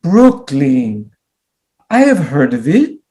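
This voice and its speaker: A man plays a naive immigrant with a high, swooping voice. He speaks slowly in simple, formal phrases, proud and stubbornly hopeful, as in a stage drama. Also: wildly swooping pitch, dark tone, exaggerated voice. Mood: puzzled, curious. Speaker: stage actor. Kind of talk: dramatic play reading.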